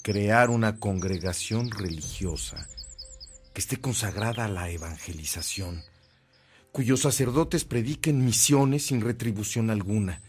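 Slow-spoken, gentle priest. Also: male voice, lowish voice, a little hiss or hum. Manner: gentle, measured, earnest. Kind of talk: radio drama acting.

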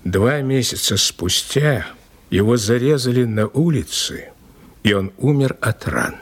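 An actor in an audio play as a respectful police inspector, recounting a case in an emphatic, dramatic way with a slightly husky voice. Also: male voice, mid-range voice, a little slow. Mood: gravely narrating. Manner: animated, respectful, emphatic storyteller.

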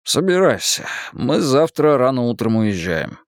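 A man's voice: mid-range voice, lively intonation, measured pace, no echo, clean studio sound. A man voicing a stern, wounded and unyielding father in a dramatised audiobook, speaking firmly and bluntly in exasperation. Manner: firm, blunt, commanding.

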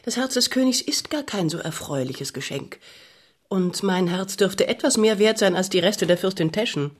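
An actress playing a proud, cool, self-assured young noblewoman in a radio drama, speaking in a measured, formal way. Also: medium pitch, expressive, natural voice. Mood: proud, defiant. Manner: formal, measured, dignified courtly speech.

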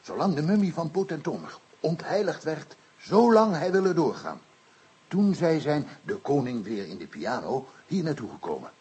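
An actor playing an earnest African interpreter in a radio play, speaking solemnly in halting, broken Dutch with a husky voice. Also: male voice, fairly high pitch, sing-song, a little mellow, heavily acted.

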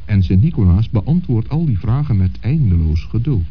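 A man's voice: on the low side, sing-song, normal speed, husky. A man narrating a scripted children's story as the narrator of a radio play. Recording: dull old radio play recording.

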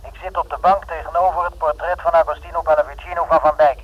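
A man acting in a radio drama as a shady, demanding informant, husky and curt, heard through a telephone filter. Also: on the high side, steady tone, slight background noise. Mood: curt, menacing. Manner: curt, insistent, deliberate. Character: shady, demanding male informant selling secrets by phone. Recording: muffled tinny phone line.

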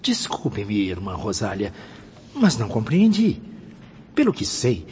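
A man's voice: fairly low pitch, huge pitch swings, measured pace, darkish tone, a little hiss or hum. An actor playing a puzzled, deferential male student spirit in a radio drama. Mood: puzzled, polite. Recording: muffled, reverberant recording with faint music.

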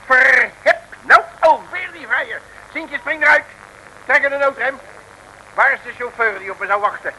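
An excitable, grumbling detective with a high, piercingly bright voice. He blusters and exclaims in folksy turns of phrase. It is old radio serial acting with faint recording noise. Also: moderate pace, exaggerated voice, low-quality recording. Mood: indignant.

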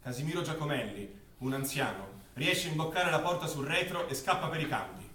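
A man's voice: on the high side, expressive, fairly quick, sharp tone. A man delivering dramatic scripted narration as a stage actor. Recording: echoey, distant, clearly noisy stage recording.

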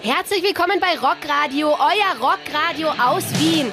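Radio drama: gushing female rock-station presenter, high voice, chummy hype over music. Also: a little slow. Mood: hyped. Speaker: radio host. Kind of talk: excited radio show presenting.

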